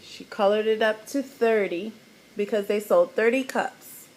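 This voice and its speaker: A woman reading a children's picture book aloud, animated and teacherly, pausing to explain the pictures. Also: medium pitch, a little hiss or hum, poor audio.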